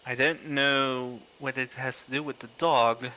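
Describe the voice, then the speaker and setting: A man's voice: medium pitch, fairly even intonation, unhurried, pushed and bright, slight background noise, low-quality recording. A man reading a horror visual novel aloud on a livestream, with casual commentary in between. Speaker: livestreamer playing a horror game.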